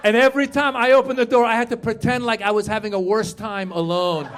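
Middle-aged male stand-up, high voice, lively crowd work, punchlines yelled. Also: fast, a little hiss or hum. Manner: animated, shouts punchlines, jumps into high put-on voices. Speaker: stand-up comedian. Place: comedy club stage before a live audience.

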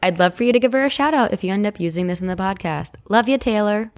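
A woman's voice: mid-range voice, quick pace. A woman co-hosting a podcast, chatting casually and reading listener stories aloud. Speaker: podcast co-host.

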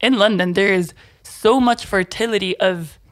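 Chatty young Italian woman, American accent. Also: medium pitch. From Italy. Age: 20s. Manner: chatty and fast-flowing, laughs at herself. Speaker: podcast guest, music collective organizer. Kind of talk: casual podcast interview.

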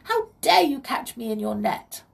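A woman voicing a tiny, indignant female pixie who pleads and squeals in a high little voice, in a children's story. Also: very animated, a little slow, vocal fry, far from the mic, full character voice.

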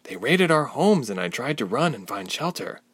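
A man's voice: medium pitch, very animated, normal speed, fairly bright tone, slightly rough, dry recording, pitched above the performer's own voice. A man voicing a frightened young woman with magic powers, earnest and defensive as she explains herself.

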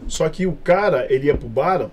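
Animated Santos man reminiscing. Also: a little high, moderate pace. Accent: Santos Brazilian Portuguese. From Baixada Santista, Brazil. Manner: animated storyteller, repeats phrases. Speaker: nightclub promoter. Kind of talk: casual podcast chat.

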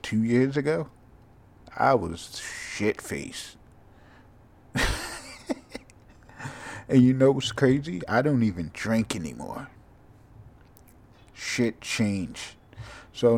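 A sleepy young man with a monotone, mumbly voice, hosting a rambling, profane solo podcast. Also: low voice. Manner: casual, profane, drifting from thought to thought. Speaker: podcast host.

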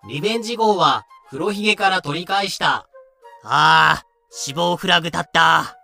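Man, scripted drama narrator, slightly high bright voice, unhurried, music underneath. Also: gravelly.

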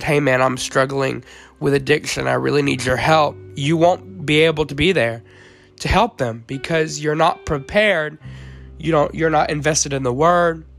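A teenage boy, hoarse from the flu, hosting a faith podcast in an earnest tone with frequent ums and uhs. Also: fairly high pitch, fairly bright tone. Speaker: podcast host.

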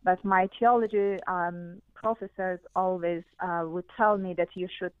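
A woman's voice: fairly low pitch, fairly even intonation, unhurried, smooth, dry recording. A woman from Iran with a Persian accent, a guest who earnestly recounts her questions and experiences, using many fillers. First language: Farsi. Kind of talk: interview, personal testimony. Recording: tinny phone-like audio.

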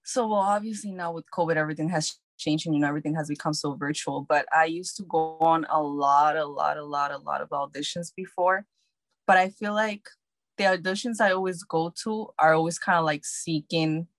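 A quiet, soft-spoken young woman, answering as an actress in a casual interview. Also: deep voice, fairly quick.

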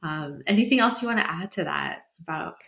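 A woman's voice: low-pitched, expressive, a little fast, gravelly, a bit bright. A woman hosting a finance interview podcast, talking in an upbeat, conversational way with the odd "um". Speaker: podcast host.

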